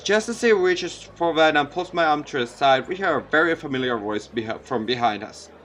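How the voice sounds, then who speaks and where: A man's voice: on the high side, expressive, a little fast, fairly bright tone, a little hiss or hum. A man reading a visual novel aloud as a let's play, sometimes stumbling over lines and correcting himself. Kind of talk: let's play read-aloud narration with asides.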